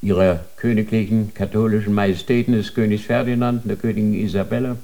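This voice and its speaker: A man's voice: fairly low pitch, fairly even intonation, fast, mellow, close to an everyday voice. An actor playing a stern male inquisitor of a holy tribunal, interrogating in a cold, formal manner in a radio drama.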